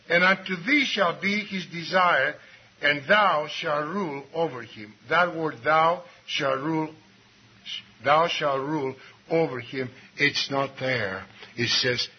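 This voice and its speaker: A man preaching a sermon, swinging between low asides and raised exclamations and reading scripture slowly and deliberately. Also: slightly rough. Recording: dull lo-fi recording with faint noise.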